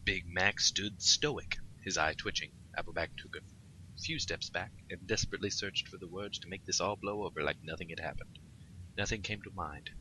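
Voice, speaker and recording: A man's voice: low-pitched, normal speed, pushed and bright, a little hiss or hum, rough recording. A man reading comic fan fiction aloud as co-host of a read-along. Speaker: co-host and story reader.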